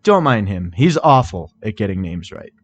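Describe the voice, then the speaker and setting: A man's voice: fairly low pitch, very animated, moderate pace. A man voicing a timid, nervous male pony thief who talks hesitantly, in a storybook reading. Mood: apologetic. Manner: hesitant, jittery.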